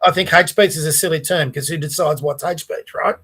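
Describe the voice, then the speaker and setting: A man with an Australian accent and a fairly high voice talks through his views in a casual podcast chat. He speaks earnestly, restarting phrases and checking in with the listener as he goes. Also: fairly even intonation, a little fast, fairly bright tone, breathy edge. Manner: earnest, restarts and asides like "right?". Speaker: podcast host. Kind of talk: casual political discussion.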